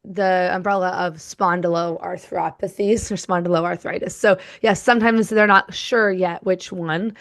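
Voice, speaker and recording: A woman's voice: on the low side, fairly even intonation, a little slow, few pauses. A woman hosting a podcast interview, her voice a little scratchy, who laughs easily and explains terms for the listeners. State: slightly scratchy voice. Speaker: podcast host.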